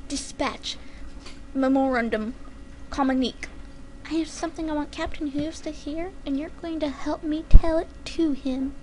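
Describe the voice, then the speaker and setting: A scornful young filly hunter from a storybook , played by a woman. She speaks in a high voice, haughty and threatening, over audible noise. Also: moderate pace, darkish tone, close to an everyday voice. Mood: coldly commanding. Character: menacing, scornful young female pony hunter.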